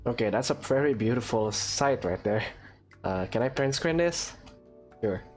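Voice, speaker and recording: A woman's voice: very deep voice, expressive, normal speed, darkish tone, soft background music. A woman playing a video game and commenting casually, with wry, informal reactions. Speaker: gamer, let's player.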